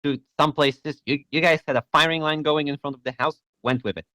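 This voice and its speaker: A man's voice: a little high, fairly even intonation, brisk, bright, forceful tone, no echo. A young man whose first language is Polish, running a tabletop game on a voice call, hesitant and self-deprecating, often checking in with "right?". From Poland. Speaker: game master. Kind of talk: casual online tabletop game chat.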